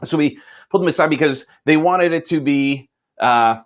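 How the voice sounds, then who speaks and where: A man telling a motivational story in an informal, folksy and earnest way, pausing for dramatic effect. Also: on the high side. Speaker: host telling a moral story.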